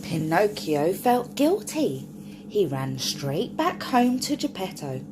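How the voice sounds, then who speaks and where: A woman's voice: lowish voice, sing-song, slow pace, noisy background. A woman reading a picture book aloud to young children in a sing-song storytime voice, often stopping to ask the listener questions.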